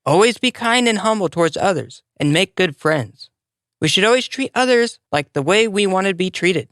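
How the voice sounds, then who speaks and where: A storyteller narrates a moral tale for children. The voice sits at a medium pitch and moves in lively swings, with deliberate, expressive phrasing. The sound is dry, with hardly any room in it. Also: no room sound.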